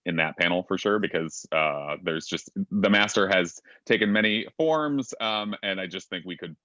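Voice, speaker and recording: A man moderating a casual panel discussion, talking quickly and reading out chat comments. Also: deep voice, sharp tone, no echo, muffled recording.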